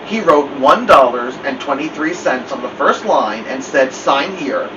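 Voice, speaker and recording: A man reading a storybook aloud as a story-time host, with expressive narration. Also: mid-range voice, moderate pace. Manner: expressive read-aloud delivery. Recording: muffled lo-fi, distant mic, loud hiss.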